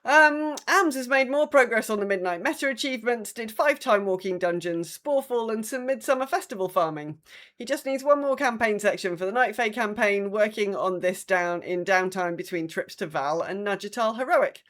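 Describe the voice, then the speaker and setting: A woman's voice: mid-range voice, normal speed, fairly bright tone. An English woman co-hosting a podcast in casual chat, hesitant and wry, often correcting herself. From England. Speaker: podcast co-host. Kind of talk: casual chat, reading listener updates.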